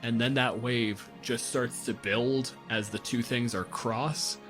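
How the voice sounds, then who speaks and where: A man acts as game master on a podcast, talking at a middle pitch. He is casual and swears freely as he narrates the game and rulings, with some music behind him. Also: fairly even intonation, takes it slowly, a bit bright. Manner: profane, casual.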